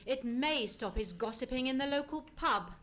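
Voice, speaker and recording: A woman playing a shrewd, assured gentleman detective, formal and precise, in a live radio play. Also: sits high, expressive, normal speed. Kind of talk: live old-time radio play acting.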